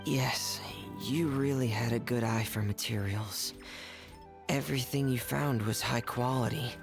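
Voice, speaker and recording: A reserved, earnest teenage boy talks softly and hesitantly in a video game scene. The delivery is unhurried with noticeable vocal fry, and music plays clearly behind. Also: medium pitch. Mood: quiet, kind. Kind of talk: video game cutscene acting.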